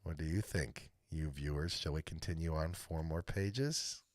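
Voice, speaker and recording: A man reading a storybook aloud as a live host, narrating dramatically with deliberate pauses. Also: a bass voice. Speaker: read-aloud storyteller hosting a live stream.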